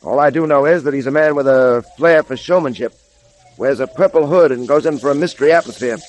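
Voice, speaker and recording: An actor playing a resolute police detective hero, commanding and earnest, in 1930s radio drama style. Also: male voice, mid-range voice, brisk, a little mellow, faint music behind, lightly acted. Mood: firm, earnest. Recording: faintly noisy 1930s radio recording.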